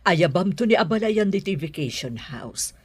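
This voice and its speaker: A woman's voice: fairly low pitch, sing-song, moderate pace, a little mellow, breathy edge, no room sound. A woman acting a middle-aged, doting and emotional mother and grandmother in an Ilocano radio drama. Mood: tender, grave.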